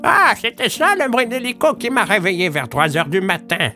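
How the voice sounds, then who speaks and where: A man voicing an old female bar owner, wry and excitable, in an audio drama. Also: high-pitched, moderate pace, soft background music, voice pushed up, heavily acted. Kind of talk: audio drama character dialogue.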